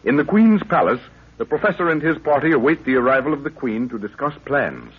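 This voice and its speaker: A man performing as the measured, portentous announcer of a 1930s radio jungle adventure serial, reading scripted narration. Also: medium pitch, very animated. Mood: dramatic. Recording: tinny, rough 1930s radio recording.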